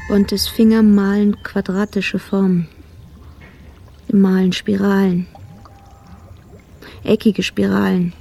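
An actor playing a cynical, world-weary police colleague in a radio drama, blunt and dry in clipped phrases. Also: male voice, high-pitched, level tone, takes it slowly, creaky, long pauses, dark tone, heavily acted. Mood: weary, bitter.

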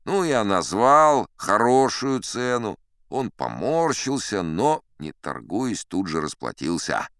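A man narrating a first-person yarn like an audiobook actor, expressive and conversational in his telling. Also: on the low side, takes it slowly, few pauses, smooth, no echo, studio quality. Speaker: audiobook actor narrating as a storyteller sea captain. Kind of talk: animated scripted first-person storytelling.

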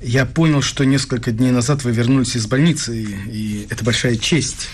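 A polite male interviewer, played by an actor in a radio play, mid-pitched and deferential. He sounds eager yet slightly hesitant, with faint ambient noise around him. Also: normal speed, natural voice. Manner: courteous, hesitant.

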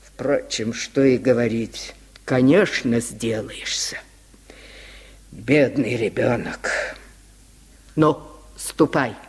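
A man's voice: medium pitch, takes it slowly, pauses often, slightly rough, voice pushed down. A man voicing a stern, condescending town mayor with a preachy, disapproving manner, in an old radio story recording. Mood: scolding, pitying. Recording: 1950s radio recording, long reverb.

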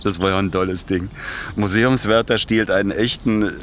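An actor playing a chatty, naive working-class ex-convict in a naturalistic radio crime drama, speaking colloquially and jovially. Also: male voice, fairly low pitch, steady tone, moderate pace, a bit bright, slight background noise, lightly acted. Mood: curious, teasing. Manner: colloquial, jovial, simple-minded.

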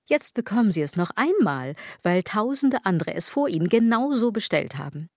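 A woman's voice: mid-range voice, sing-song, normal speed, darkish tone, dry recording, clean studio sound. An actress playing a sardonic, aging woman in a literary radio drama, speaking in an ironic, declamatory way. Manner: ironic, declamatory, wordplay-laden delivery. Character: sardonic aging woman musing on fashion and consumerism.

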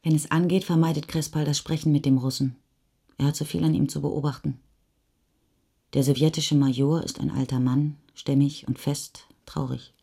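An actress playing the sober, measured narrator of a post-war chronicle in a German radio play. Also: female voice, low voice, steady tone, creaky, warm tone, husky, full character voice. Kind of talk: literary reading in a radio play.

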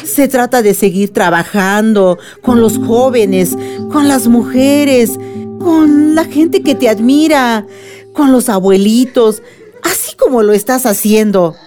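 A woman playing a wise older grandmother in a radionovela, earnest and deliberate as she consoles and persuades. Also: a little high, mellow, faint music behind. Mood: encouraging. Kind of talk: radionovela acting.

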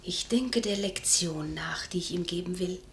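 A woman's voice: fairly low pitch, normal speed, slight background noise, natural voice. An actress playing a witty, spirited young noblewoman in a classical radio comedy, articulate and playful in her delivery. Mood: playful, scheming. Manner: articulate, playful, theatrically expressive.

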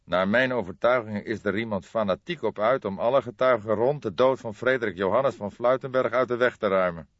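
A man voicing an old, grey-haired police detective, patient and measured, in an audiobook. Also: on the low side, dry recording, voice pushed up, close to an everyday voice. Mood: grave, resolute. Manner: measured, thoughtful, hesitant hums. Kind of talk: audiobook character dialogue. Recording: dull lo-fi recording.

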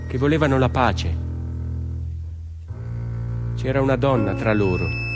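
A man's voice: medium pitch, close to an everyday voice. A man playing a 1940s Italian partisan peasant in a theatrical monologue, speaking in short, dramatic, emphatic phrases. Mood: grave, urgent. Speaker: stage actor and storyteller. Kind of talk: theatrical narrative monologue with music. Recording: long hall reverb, music underneath.

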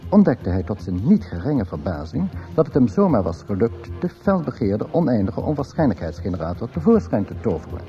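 A man with a slightly low voice a galactic guidebook in a radio comedy. He explains things with wry, mock-scholarly flair. Music plays behind him on a slightly dull recording. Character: dryly witty male voice of a galactic guidebook. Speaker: narrator. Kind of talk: scripted radio comedy narration.

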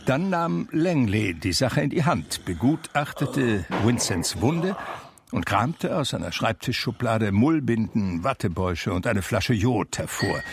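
A man acting the narrator of a radio drama, a reflective blind man telling his memories in a measured, wry, gravelly voice. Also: mid-range voice, sing-song, noisy background.

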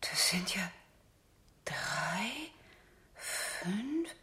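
Very slow, tentative hospitalized wife. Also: female voice, on the low side, very animated, sharp tone, exaggerated voice. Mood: tentative, surprised. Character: subdued, hesitant hospitalized wife. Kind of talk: 1970s German radio crime drama acting.

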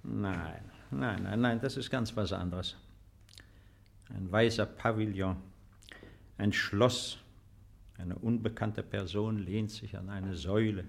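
An actor playing an uneasy, nostalgic displaced farmer in a 1950s German radio play, musing and hesitant. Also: male voice, on the low side, slow pace, vocal fry, pauses often, heavily acted. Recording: faintly dated 1950s radio recording.

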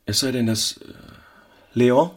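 An actor playing a trusting, hesitant, affectionate husband in a literary radio drama. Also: male voice, medium pitch, slow, husky.

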